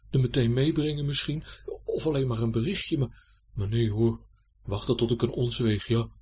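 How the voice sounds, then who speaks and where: A man's voice: medium pitch, a little mellow, slightly rough. A man voicing a short, blustering old knight in rusty armour for a children's story, stuttering and repeating himself in a muffled grumble. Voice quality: dull, muffled.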